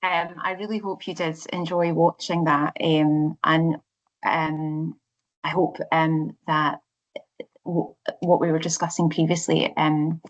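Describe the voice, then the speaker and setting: A woman's voice: low-pitched, little pitch movement, slow, lo-fi sound. A woman with a Scottish accent presenting a webinar, speaking hesitantly with many fillers and restarts. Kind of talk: live online presentation.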